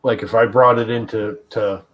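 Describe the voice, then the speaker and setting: A man with a lowish voice, talking loosely on a live stream. He wanders between tinkering and storytelling and swears casually. His tone stays offhand and conversational. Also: steady tone, normal speed. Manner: rambling, swears casually, tells stories with mimicry. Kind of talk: casual chat while 3D printing.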